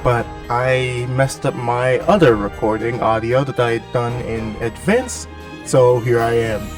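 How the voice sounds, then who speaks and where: A man talking through a cold, his voice nasal and a little gravelly at an ordinary middle pitch. He rambles through game commentary with jokey, self-mocking asides. The mic sits slightly distant, with faint music underneath. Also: steady tone, a little slow. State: has a cold. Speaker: gaming channel host. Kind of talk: casual voice-over commentary on gameplay.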